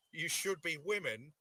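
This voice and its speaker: Wary Shakespearean nobleman for radio play: declamatory, slightly high, unhurried, piercingly bright. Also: no echo, full character voice. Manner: declamatory, measured verse delivery. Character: wary, questioning Scottish nobleman confronting witches.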